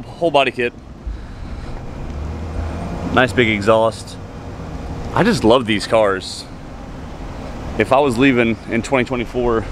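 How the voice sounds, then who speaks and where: Man vlogging cars outdoors over street noise. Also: mid-range voice. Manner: enthusiastic car-enthusiast talk. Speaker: car vlogger. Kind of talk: casual walkaround vlog commentary to viewers. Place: outdoors by roadside car lots and shops. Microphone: handheld camera mic.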